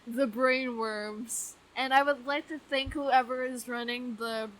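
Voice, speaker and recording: A woman in her twenties chatting casually as a podcast co-host, gushing, excitable and quick to swear. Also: a little high, poor audio. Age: 20s. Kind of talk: casual fan podcast chat.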